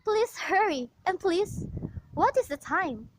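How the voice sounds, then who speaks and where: Woman acting a frantic, very high-pitched invalid wife. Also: expressive, slow pace, a little hiss or hum, heavily acted, poor audio. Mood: agitated, impatient. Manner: agitated, pleading, melodramatic. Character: frantic, demanding invalid wife, anxious and self-pitying. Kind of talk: amateur radio play acting.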